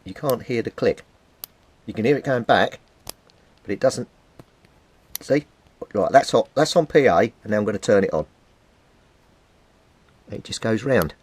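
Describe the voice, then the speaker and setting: A middle-aged man with a British accent and a fairly low voice presents a casual hands-on demonstration. He talks informally, thinking aloud as he handles things at an indoor workbench. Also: lively intonation, unhurried, pauses often, darkish tone, slightly rough. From Britain. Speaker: hobbyist radio repairer presenting a video.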